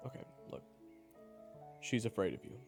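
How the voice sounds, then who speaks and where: A man's voice: a little slow, music in the background, close to an everyday voice. A man playing a sardonic adventurer who narrates his own tale in a casual audio drama style, in a roomy, echoing recording. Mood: earnest, wary. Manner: wry, casual. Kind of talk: first-person dramatic narration and acting.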